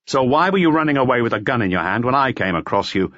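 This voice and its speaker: A man's voice: mid-range voice, lively intonation, fast, fairly bright tone, dry recording, highs cut off, voice pushed up, lightly acted. A man voicing a stern, persistent police detective in an audiobook, questioning bluntly. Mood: insistent, blunt. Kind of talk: audiobook character voice.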